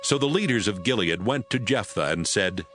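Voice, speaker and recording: A man narrating a dramatized Bible book in a steady, measured way, with music behind. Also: fairly low pitch. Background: background music.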